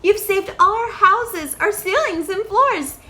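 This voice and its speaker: A woman's voice: extremely high pitch, expressive, slow pace, sharp tone, pitched above the performer's own voice, full character voice. A woman voicing a tiny, grateful storybook mayor with a piping, polite and effusive way of talking. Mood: grateful, cheerful. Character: tiny, grateful male mayor of a speck town.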